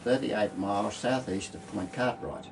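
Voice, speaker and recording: A man's voice: lowish voice, moderate pace. An Australian man reading a scripted rescue report as a coast guard narrator. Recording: clearly noisy voice-over track.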